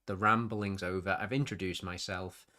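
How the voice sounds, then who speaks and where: A man's voice: deep voice, fairly even intonation, normal speed, sharp tone. A man hosting his own hobby live stream with a nasal, sniffly voice, rambling casually and correcting himself as he goes. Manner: rambling, self-interrupting, chatty. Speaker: YouTube hobby channel host.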